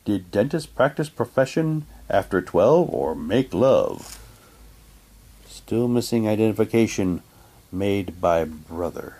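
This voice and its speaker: A man's voice: fairly low pitch, lively intonation, slow pace, dark tone, husky. A man casually reading printed material aloud and commenting on it, with offhand muttered asides. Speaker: unboxing presenter.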